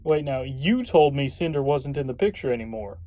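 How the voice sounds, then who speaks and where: A man's voice: mid-range voice, expressive, a little fast, dark tone, dry recording, close to an everyday voice. An actor playing a sarcastic, skeptical man, a reluctant chosen one, in an audio drama, talking with exasperation. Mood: baffled. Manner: sardonic, exasperated.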